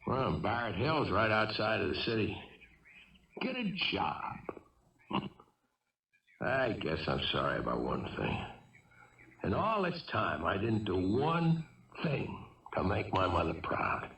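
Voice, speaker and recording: An actor playing a wounded fugitive gunman in a 1970s radio drama, jittery, bitter and wistful, speaking in halting, agitated bursts. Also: fairly low pitch, big pitch swings, creaky, fairly bright tone, full character voice. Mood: rueful, weary. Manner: halting, agitated, repeating himself. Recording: dull, echoey vintage radio recording, distant mic.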